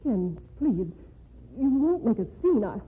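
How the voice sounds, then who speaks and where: An actress playing a timid, gentle woman who meets her former lover again, in an old radio drama. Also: on the high side, sing-song, unhurried, husky, full character voice. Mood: frightened. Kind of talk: 1940s radio drama acting. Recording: tinny lo-fi old radio broadcast.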